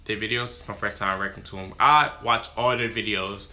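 A man's voice: on the low side, fairly even intonation, brisk, sharp tone. A man, giving casual reaction commentary in a room at home. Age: 20s. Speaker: reaction video host. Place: small room at home, indoors.

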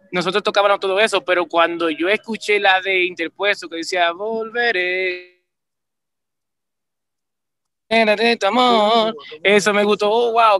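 A man in his forties with a Caribbean Spanish accent, a band leader chatting animatedly in an interview over a video call. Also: high voice. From Puerto Rico and Dominican Republic. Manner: animated, repeats "¿me entiendes?", restarts often. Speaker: band leader, musician guest. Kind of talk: casual interview chat. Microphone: video call webcam.

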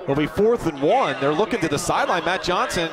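A man calling a college football game play by play, brisk and rising with excitement on big plays. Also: on the high side. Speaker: play-by-play announcer. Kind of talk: live football play-by-play commentary. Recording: broadcast with loud crowd and noise behind.

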